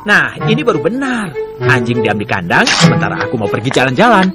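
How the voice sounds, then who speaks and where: Bad-tempered dog owner played by a voice actor, animated pitch, blustering, scolding, cartoon dub, loud background music. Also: fairly quick, natural voice. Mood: indignant. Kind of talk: dubbed children's cartoon acting.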